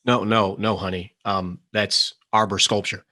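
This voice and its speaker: An actor playing an earnest, brainy hacker, nervous but determined, speaking haltingly in a screenplay table read. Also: male voice, on the low side, level tone, pushed and bright. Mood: hesitant, explaining.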